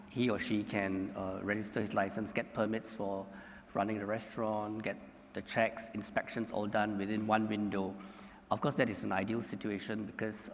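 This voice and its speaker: Guy on a panel, slow and halting, lots of uh's, low-ish voice, echoey hall with faint noise. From Singapore. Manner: measured, halting with frequent fillers. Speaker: panelist, government economy official. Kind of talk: conference panel answer. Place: conference hall panel stage. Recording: reverberant conference hall.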